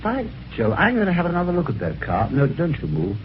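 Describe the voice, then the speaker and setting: A polite, somewhat dim British husband in a vintage radio mystery. His delivery is very animated, with chatty hesitations and old-fashioned manners. The recording is dull, with a faint layer of noise. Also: fairly high pitch, brisk. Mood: flustered. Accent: British English. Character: well-mannered, slightly dim English husband recounting a mystery. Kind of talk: old-time radio mystery acting, narration and dialogue.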